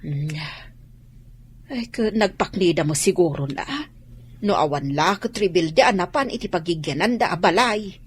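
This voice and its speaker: A woman's voice: on the low side, normal speed, slightly rough. A woman playing a knowing village woman in a radio drama, speaking with an Ilocano accent. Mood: matter-of-fact.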